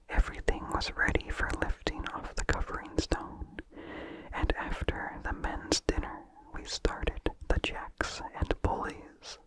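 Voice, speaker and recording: An ASMR reader delivers an old horror tale entirely in whispers. The reading is unhurried and measured, soft and breath-led from one phrase to the next. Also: poor audio. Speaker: ASMR narrator.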